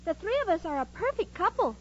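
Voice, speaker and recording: A woman's voice: very high-pitched, big pitch swings, quick pace, over loud music. An actress playing a cheerful, naive, scatterbrained young woman in a 1940s radio comedy. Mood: cheerful, earnest. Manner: earnest, dim-witted. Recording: noisy, muffled old radio recording.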